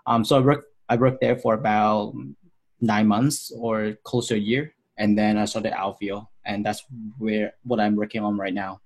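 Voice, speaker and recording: A man's voice: fairly low pitch, level tone, normal speed. A man in his thirties from Hong Kong, answering interview questions about his design career in a loose, rambling way with many fillers. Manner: rambling, frequent fillers and restarts.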